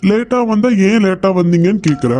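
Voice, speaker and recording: Guy doing a cranky cartoon hubby, scolding, high voice, music under it. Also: lively intonation, brisk, dark tone. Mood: irritated. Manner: scolding, irritable, repetitive. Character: grumpy short-tempered cartoon husband. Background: background music.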